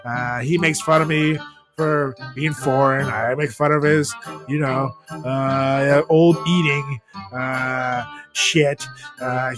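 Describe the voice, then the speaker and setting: A man hosting a comedy podcast in casual banter, swearing freely and rambling through his stories in a joking way. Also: a little high. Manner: profane, joking, rambling storyteller. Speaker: podcast host.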